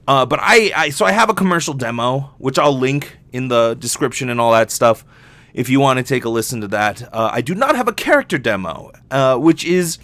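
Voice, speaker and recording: A man's voice: mid-range voice, lively intonation, a little fast, a bit bright, no echo. A young adult man talking casually and candidly as a solo podcast host, rambling and swearing freely.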